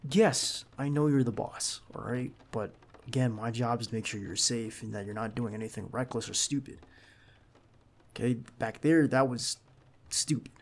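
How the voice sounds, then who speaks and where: A man playing a protective, earnest bodyguard in an ASMR roleplay, speaking haltingly and insistently straight to the listener. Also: medium pitch, lightly acted. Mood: stern, concerned. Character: protective, earnest young male bodyguard to a crime boss. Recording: clear background noise under the voice.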